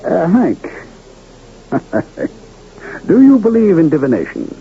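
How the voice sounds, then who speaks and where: A man's voice: high voice, wildly swooping pitch, slow pace, vocal fry, heavily acted. An actor playing a sly, genial older carnival showman in a radio drama, with theatrical, courtly, florid diction. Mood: curious, knowing. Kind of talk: old-time radio drama acting. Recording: muffled, noisy vintage radio broadcast.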